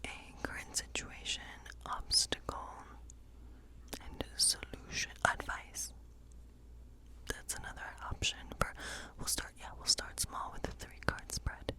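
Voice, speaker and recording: A woman performing a whispered ASMR role play as a whimsical witch reading tarot, musing softly in a breathy, unhurried whisper.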